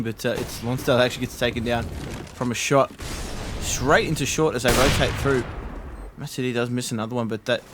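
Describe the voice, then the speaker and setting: A man casting an esports match live, steady play-by-play with frequent fillers. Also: medium pitch, background noise. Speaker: esports caster.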